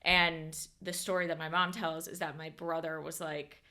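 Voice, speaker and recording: Young american woman, podcast guest, lowish voice, loose rambling talk, lots of fillers. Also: level tone, normal speed, pushed and bright. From United States. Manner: rambling, filler-heavy, self-correcting. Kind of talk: casual interview chat.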